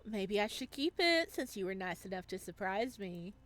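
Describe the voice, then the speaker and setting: A woman's voice: mid-range voice, big pitch swings, moderate pace, a bit bright. A woman voicing a teasing, motherly young sister in a visual novel, playful and bossy. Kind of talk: visual novel character read-aloud.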